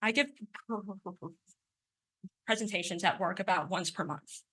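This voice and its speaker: A woman's voice: fairly low pitch, measured pace, sharp tone, breathy edge, rough recording. A woman in her twenties giving a prepared personal talk, speaking with a stutter and occasional sound repetitions in an earnest, emphatic way. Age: 20s. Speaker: conference speaker.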